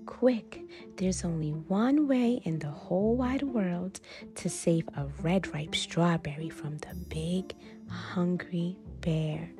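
A woman reading a children's picture book aloud expressively, dramatically stretching words and dropping into breathy whispers. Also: fairly low pitch, takes it slowly, music in the background. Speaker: storytime reader and channel host.

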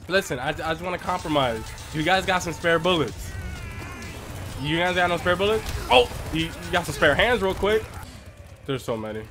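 A young man streaming a horror game from his room, reacting loudly with constant swearing and trash talk. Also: fairly high pitch, animated, moderate pace, music in the background. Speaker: gamer streamer. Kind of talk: live gameplay reactions. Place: small room at home, indoors.

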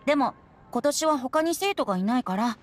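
A woman's voice: high voice, animated, a little fast, a little hiss or hum. A woman voicing a carefree, sly young boy bard in an anime game, light, breezy and teasing. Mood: knowing, breezy. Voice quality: light, boyish. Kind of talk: anime game character acting. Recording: game music behind the voice.

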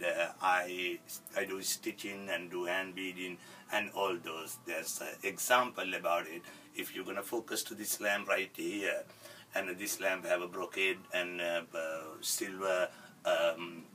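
A man in his forties from Sri Lanka with a Sri Lankan accent, a fashion designer introducing his sewing studio in halting English full of fillers. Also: low-pitched, bright, forceful tone, far from the mic, slight background noise, rough recording. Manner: halting non-native English with many fillers. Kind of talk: self-introduction video. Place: cluttered sewing studio, indoors.

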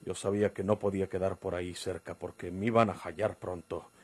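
An actor playing a wily, menacing Mexican outlaw in a radio drama, with rustic, commanding speech. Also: male voice, low voice, level tone, fairly quick, a little mellow, breathy edge, no echo. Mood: grim, defiant. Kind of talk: golden-age radio drama acting.

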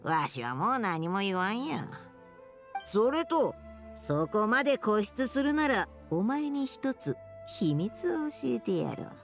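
A woman's voice: medium pitch, big pitch swings, takes it slowly, faint music behind. A woman voicing a curt, proud old wise woman in a video game, with lofty, archaic elderly phrasing. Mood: resigned, curt. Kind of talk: scripted game voice acting.

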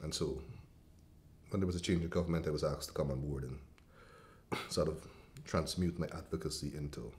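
A man's voice: extremely low pitch, steady tone, fairly quick, pauses often. A man in his forties with a Guyanese accent, answering interview questions as a writer in a hesitant, thoughtful way, full of ums, restarts and coughs, seated in an indoor room with a fireplace. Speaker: writer and cultural policy advisor.

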